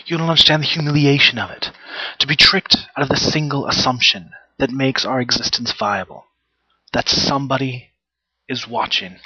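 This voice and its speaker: Casting: male actor as proud, wounded travelling player, dramatic stage monologue, sharp-edged mid-pitched voice, long pauses; dull, muffled headset recording. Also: breathy edge, no echo. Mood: bitter, wounded. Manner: theatrical, emphatic, deliberate, with long pauses.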